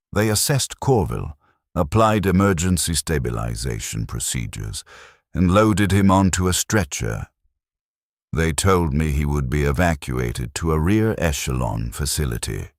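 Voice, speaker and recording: Very deep-voiced man narrating a story. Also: no room sound, studio quality. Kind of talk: scripted first-person war story narration.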